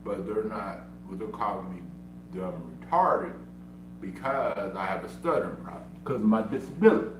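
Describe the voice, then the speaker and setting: A man's voice: fairly low pitch, animated, normal speed. A man who stutters, streaming a casual monologue and repeating himself insistently. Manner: repetitive, insistent, self-defending. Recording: echoey room, far from mic, humming noise.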